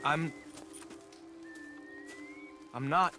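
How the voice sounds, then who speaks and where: A man's voice: fairly high pitch, extremely slow, sharp tone, faint music behind, heavily acted. An actor playing a naive, overwrought young frontier man, earnest and stammering in a video game drama. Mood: defiant, panicked. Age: young adult.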